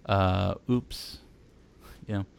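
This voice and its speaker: A man with a somewhat low voice talks college football in a loose, rambling way, circling back with restarts and fillers. He grew up in Texas and chats casually with a friend. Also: fairly even intonation, very slow, creaky, clear, resonant tone, dry recording. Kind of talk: casual sports chat.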